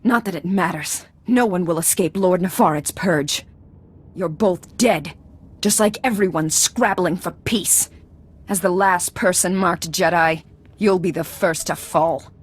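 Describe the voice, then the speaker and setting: A woman's voice: on the low side, measured pace, slightly rough. An actress playing a cold, haughty noblewoman villain in a video game, threatening and fanatical. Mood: cold menace. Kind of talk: dramatic video game acting.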